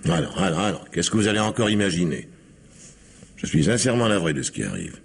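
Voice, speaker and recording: An actor playing a self-satisfied middle-aged factory owner in a French radio drama, gravelly and husky, patronising yet hesitant. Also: male voice, sits low, big pitch swings, fast talker, exaggerated voice. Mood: awkward, evasive. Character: self-satisfied factory owner pushing out his brother's household.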